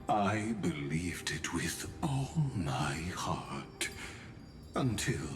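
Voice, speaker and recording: An actor playing an ancient, weary mechanical sculptor in a video game, speaking in a measured, theatrical, philosophical way with cool menace. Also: male voice, sits low, exaggerated voice. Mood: wistful, grave. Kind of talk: scripted video game drama acting. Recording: music under the voice.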